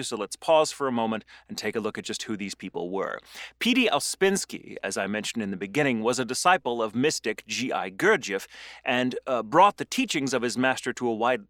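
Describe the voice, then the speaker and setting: A man's voice: medium pitch, expressive, fast talker, fairly bright tone, dry recording, studio quality. A man presenting a podcast, reading a scripted essay in an erudite, literary manner with conversational asides.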